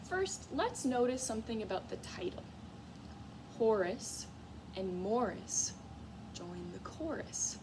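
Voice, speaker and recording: Lively high-voiced woman teaching young children. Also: slow, background noise. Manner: expressive, encouraging, asks questions of young listeners. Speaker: music teacher. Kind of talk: read-aloud lesson for young children. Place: school classroom, in a reading corner.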